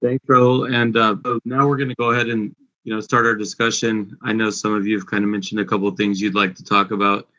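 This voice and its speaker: A man in his forties moderates a panel, speaking in a low, bright voice with a flat, level delivery. He pauses often with "uh" between thoughts. The sound is dull and lo-fi. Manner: hesitant, many "uh" fillers.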